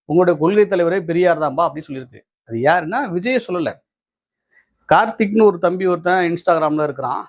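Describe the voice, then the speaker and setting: High-pitched, combative Tamil Nadu male commentator. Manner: combative, indignant, repeats phrases for emphasis. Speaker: political commentator and host. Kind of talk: opinionated political commentary to viewers.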